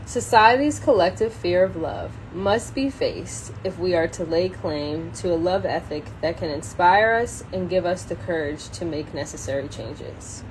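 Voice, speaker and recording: A woman on a casual solo livestream, halting and thinking aloud as she chats and reads from a book. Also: fairly low pitch, rough recording. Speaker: social media live streamer. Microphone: phone propped up in a window. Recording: clear background noise, ambient sound.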